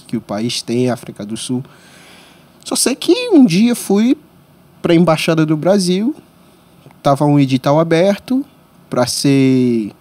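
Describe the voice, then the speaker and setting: A man in his thirties from Congo, whose first language is French, telling his life story as a podcast guest in a small studio, voice rising sharply when excited. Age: 30s. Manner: animated storyteller, pitch jumps when excited. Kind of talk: storytelling interview. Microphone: studio mic on boom arm.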